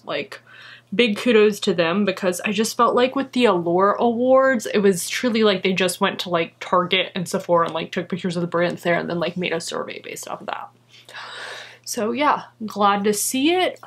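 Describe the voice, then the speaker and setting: A young woman in a small room chatting casually through a makeup review, upbeat and full of "like" fillers. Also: mid-range voice. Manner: chatty, filler-heavy, enthusiastic about products. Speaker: beauty vlogger. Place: small indoor room with holiday decor.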